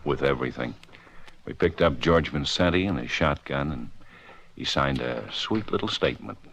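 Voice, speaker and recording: Deep-voiced, hard-boiled police lieutenant. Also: male voice, animated, normal speed, gravelly, fairly bright tone, full character voice. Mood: relaxed, wry. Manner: clipped, dry, sardonic. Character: hard-boiled male police lieutenant, tough, commanding and sardonic. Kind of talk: old-time radio crime drama acting. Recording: noisy old-time radio recording.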